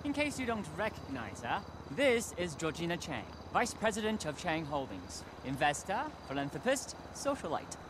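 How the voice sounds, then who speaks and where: A formal, lively-voiced aide. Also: male voice, on the high side, moderate pace, few pauses, heavy background noise. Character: formal male aide, smooth and businesslike.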